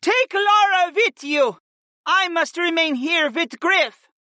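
A man voicing a fierce young hawk warrior princess in an audiobook, shouting defiantly and emphatically to protect her own. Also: extremely high pitch, animated, slow pace, dry recording, clean studio sound, pitched above the performer's own voice, heavily acted. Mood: defiant, urgent shouting. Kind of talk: dramatic audiobook character acting.

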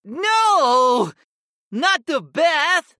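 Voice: high-pitched, very animated, very slow, pushed and bright, no room sound, studio quality, voice pushed up, exaggerated voice. A narrator voicing a male saber-toothed cat monster familiar, whiny and cheeky, drawling and pouting in an audiobook. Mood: whining protest.

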